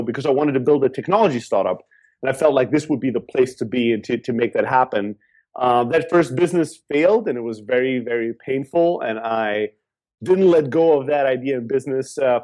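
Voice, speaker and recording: A man from Greece, raised in Germany, answering interview questions in an energetic, talkative way. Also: medium pitch. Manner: energetic, talkative, with fillers and repeats. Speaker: guest entrepreneur.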